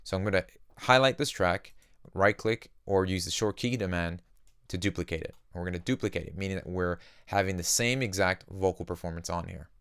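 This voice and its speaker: A man narrating a casual music software tutorial, explaining each step in simple terms as the host. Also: deep voice, a little fast, clear, resonant tone, dry recording, studio quality. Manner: explanatory, uses simple analogies.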